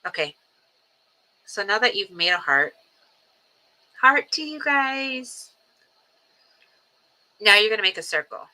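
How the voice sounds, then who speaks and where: A woman in her forties hosting a casual livestream, speaking in an earnest, rambling way with many ums and restarts, steady through her grief. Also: medium pitch, slow, pauses often, poor audio. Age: 40s. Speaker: livestream host. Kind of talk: casual reflective livestream talk.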